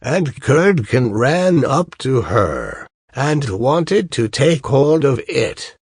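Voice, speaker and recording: Very slow male storyteller, gravelly and dry. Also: mid-range voice, big pitch swings, no echo. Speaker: storyteller reading a fairy tale. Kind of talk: slow scripted audiobook narration of a fairy tale.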